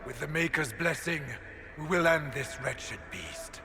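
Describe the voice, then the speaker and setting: An actor playing a proud, honourable warrior in a fantasy game, speaking formally and earnestly. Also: male voice, a little high, animated, a little slow, pushed and bright, slight background noise, exaggerated voice. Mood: resolute. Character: proud, honourable male warrior of a grim order. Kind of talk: dramatic fantasy game acting.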